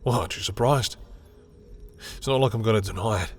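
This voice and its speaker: A man acts out a lovestruck villain with a lowish voice, proud and guarded yet tender. He speaks intimately and haltingly to one listener, as in a dramatic romantic audio roleplay, with music behind him. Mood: tender, resigned. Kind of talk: intimate second-person romantic roleplay acting.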